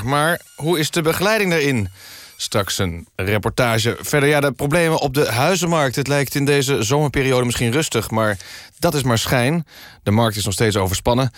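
A man's voice: medium pitch, no room sound, studio quality. A man presenting a scripted mock news magazine on the radio as its host.

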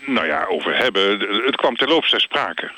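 Man acting a bumbling, bright-voiced company chairman. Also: on the low side, big pitch swings, moderate pace. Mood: evasive, bumbling. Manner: hesitant, vague, stammering. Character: evasive, bumbling male oil company chairman. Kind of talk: mock radio phone interview.

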